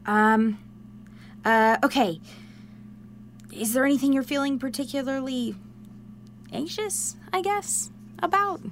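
Excitable high-voiced young confidante. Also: female voice, takes it slowly, long pauses, a little hiss or hum. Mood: coaxing. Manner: informal, earnest, coaxing. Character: excitable, caring, pushy young woman, loyal confidante. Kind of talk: modern audio drama acting.

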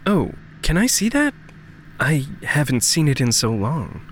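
An actor playing an earnest adult son of a rich family in a fiction podcast, with a hesitant, expressive delivery that dwells on words. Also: medium pitch, unhurried, slight background noise. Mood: appalled.

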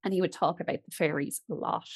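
A woman's voice: lowish voice, steady tone, fast, husky. A young adult woman from Ireland with an Irish accent, guest on a podcast, chatting and telling family stories with easy laughs and a level that rises and falls. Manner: chatty, drifts between loud and quiet, laughs easily. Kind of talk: casual storytelling conversation.

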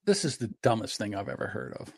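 A man's voice: fairly low pitch, huge pitch swings, fast talker, gravelly, dry recording, clean studio sound. A man co-hosting a podcast who banters and jokes with his partner in casual chat. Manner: bantering, joking. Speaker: podcast co-host.

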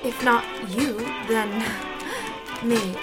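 A genteel wife coming unhinged in a radio drama. She rambles in a theatrical, disjointed way, her voice on the high side, with music clearly playing behind her. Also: drawn-out words. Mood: manic, rambling.

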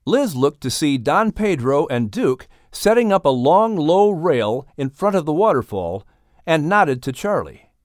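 A woman narrating a children's audiobook with expressive, scripted storytelling. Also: low-pitched, a little slow, no room sound, clean studio sound.

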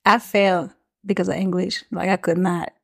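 A woman's voice: lowish voice, little pitch movement, moderate pace, gravelly, slightly rough. Laid-back woman. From Switzerland. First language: French. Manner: laid-back, short replies, frequent mm-hmm. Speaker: guest. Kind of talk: casual chat.

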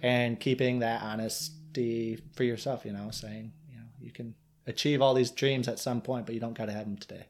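A man's voice: medium pitch, fairly even intonation, normal speed, slight background noise. A man from Michigan with a Yooper accent talks as a guest in a casual interview. He is earnest and reflective, circling back and restarting his thoughts as he goes. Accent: Michigan Upper Peninsula.

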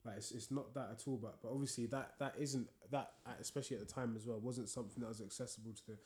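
Young man, casual podcast co-host, mellow tone, roomy sound. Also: medium pitch, steady tone, quick pace. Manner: storytelling with many fillers and restarts. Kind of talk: casual conversation. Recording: echoey room.

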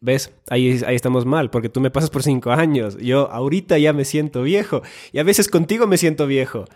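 A man's voice: medium pitch, a little fast, darkish tone. A man, co-hosting a casual, conversational podcast. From born in Finland, has lived in Ecuador. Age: 20s. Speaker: podcast co-host.

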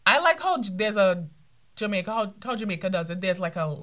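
A young woman with a Trinidadian accent chats casually on a student podcast, her voice in a middle pitch for a woman. She talks in a chatty, giggly way, full of fillers. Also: very animated, fairly quick, fairly bright tone. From Trinidad and Tobago. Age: young adult. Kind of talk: casual chat.

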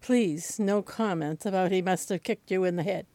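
An older woman from Boston with a Boston accent, reading her own personal essay aloud at a senior center in a measured, wry way. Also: on the low side, dark tone, no room sound.

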